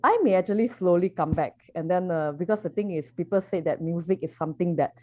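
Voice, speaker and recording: A woman's voice: on the low side, fast, a little mellow. A young woman from Malaysia with a Malaysian accent, chatting as an interview guest with many fillers and restarts. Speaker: poet and artist guest. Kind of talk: casual interview answers. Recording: muffled lo-fi audio.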